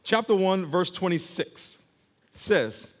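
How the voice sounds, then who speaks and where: High-voiced emphatic male preacher. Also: expressive, slow. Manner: emphatic preaching with call-and-response. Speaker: pastor. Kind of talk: sermon. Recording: long hall reverb.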